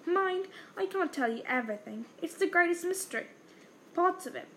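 A woman voicing a huge, gruff but kindly male half-giant gamekeeper in a read-aloud novel, with folksy, clipped dialect speech. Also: very high-pitched, expressive, moderate pace, a bit bright, slight background noise, voice pushed up, heavily acted. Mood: earnest.

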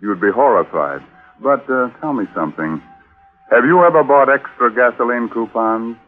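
A man's voice: medium pitch, animated, normal speed, phone-quality sound, faint music behind. A man hosting a 1940s radio mystery show in a theatrical, sly, tongue-in-cheek manner. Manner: theatrical, sly, macabre joking. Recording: thin 1940s radio recording.

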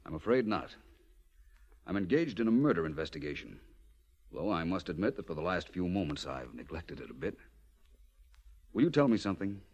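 A low, richly inflected male voice for a suave gentleman sleuth. His delivery is polished, wry and theatrical, in the manner of forties radio mystery. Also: big pitch swings, a little fast, pauses often, lo-fi sound. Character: suave, urbane, self-assured gentleman detective. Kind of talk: 1940s American radio mystery acting.

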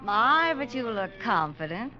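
An actress playing a flirtatious, self-assured ship passenger in a 1940s radio drama, breezy and teasing. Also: female voice, fairly high pitch, big pitch swings, unhurried, bright, forceful tone, full character voice. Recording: muffled, noisy old radio recording.

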